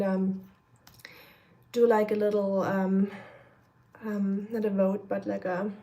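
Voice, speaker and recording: A woman's voice: medium pitch, dark tone. A young woman from Germany, chatting casually and rambling with many fillers while cooking on a live stream, her voice a little nasal. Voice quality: slightly nasal. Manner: chatty, rambling, many fillers, reads chat comments aloud.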